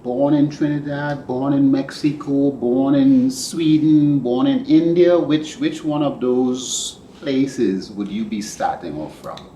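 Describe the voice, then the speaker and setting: A man hosting a podcast, interviewing a guest in a casual, rambling and animated way. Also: medium pitch, slow pace, few pauses. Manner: animated, rambling, laughs easily. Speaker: podcast host. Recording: echoey room with background noise.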